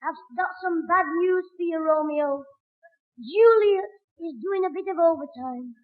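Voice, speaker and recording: A performer playing a cheeky, quick-witted young schoolboy with a Northern English accent in a 1960s radio sitcom, full of wisecracking backchat. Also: very high-pitched. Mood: mocking. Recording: roomy, tinny old radio broadcast recording.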